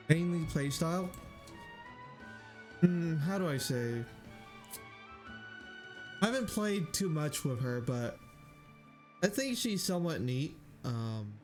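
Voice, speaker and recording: A man streaming a game, chatting casually and thinking aloud in a hesitant way. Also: a little high. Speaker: live streamer. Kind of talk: casual stream chat while gaming.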